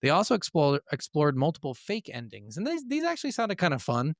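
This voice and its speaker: A man hosting a casual gaming podcast, telling stories in an animated, conversational way. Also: fairly high pitch, fairly quick, no echo, clean studio sound. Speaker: podcast host.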